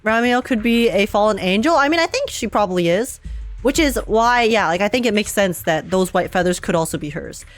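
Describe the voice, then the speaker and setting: A woman's voice: mid-range voice, lively intonation, brisk, soft background music. A woman giving casual, slangy live gameplay reactions, musing aloud and repeating her phrases as she goes. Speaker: game streamer.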